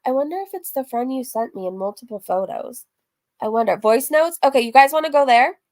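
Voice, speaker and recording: A woman with a fairly high voice hosts a casual reaction stream. She talks candidly and in a rambling way, reading messages aloud and cutting herself off with asides. Her language is loose and conversational, with some swearing. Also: expressive, brisk, darkish tone. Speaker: streamer and host of a reaction livestream.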